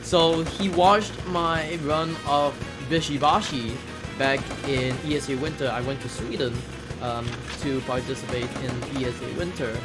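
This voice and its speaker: A man giving casual live commentary as a speedrunner, chatty and repeating himself as he goes. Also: a little high. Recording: loud game music behind. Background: video game music.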